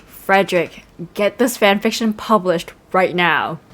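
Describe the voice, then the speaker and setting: A young woman streaming a game with a slightly low voice. Her commentary is casual and teasing, often tipping into theatrical delivery. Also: expressive, measured pace, a bit bright. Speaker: gaming streamer. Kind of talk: casual joking playthrough commentary.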